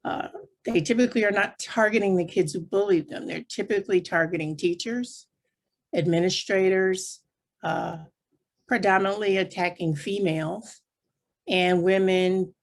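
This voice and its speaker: A woman's voice: mid-range voice, moderate pace. A woman speaking as a panelist, explaining things point by point in plain, conversational terms. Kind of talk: explanatory panel discussion talk.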